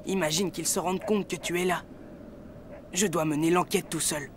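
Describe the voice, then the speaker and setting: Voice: medium pitch, steady tone, normal speed, noisy background, natural voice. An actor playing a brave, protective boy in a French cartoon, earnest and gallant. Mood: protective warning. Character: brave, protective boy, friend of a young girl. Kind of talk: dubbed French cartoon acting.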